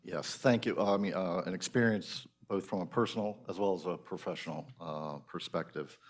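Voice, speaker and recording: A man's voice: sits low, a little fast. A man moderating a panel discussion, speaking hesitantly with many fillers.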